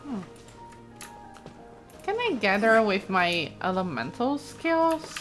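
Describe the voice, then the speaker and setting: A woman's voice: medium pitch, big pitch swings, takes it slowly. A woman giving casual, chatty gameplay commentary, thinking aloud as she plays. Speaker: let's play host. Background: game music, keyboard clicks.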